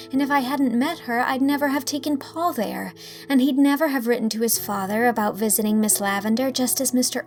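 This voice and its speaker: Romantic young heroine, put on by a female reader, high and breathy, idealistic, storybook drama with music. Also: darkish tone, natural voice. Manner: breathy, rapturous. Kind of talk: dramatised audiobook acting.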